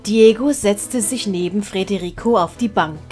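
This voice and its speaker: A woman narrates a storybook in a medium-pitched voice. She has a Swiss German accent and reads from a script as an audiobook narrator. Faint music runs underneath. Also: normal speed, darkish tone.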